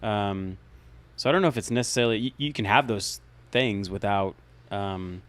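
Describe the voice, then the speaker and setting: A man's voice: on the low side, moderate pace, a bit bright. A man co-hosting a podcast in casual chat, thinking aloud hesitantly with many restarts. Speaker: podcast co-host.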